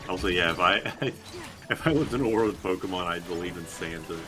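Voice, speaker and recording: A man with a quite low voice talks casually through a video game. He rambles and halts as he goes, swearing freely, with prominent music playing behind him. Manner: rambling, halting, profane. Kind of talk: casual gameplay commentary.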